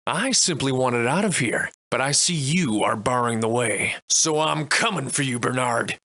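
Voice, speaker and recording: An actor playing a wry, defiant Victorian-era adventurer and monster slayer in a video game, soliloquizing in florid, old-fashioned diction. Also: male voice, medium pitch, expressive, normal speed, pushed and bright, dry recording. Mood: menacing, defiant. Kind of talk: theatrical video game voice lines in archaic English.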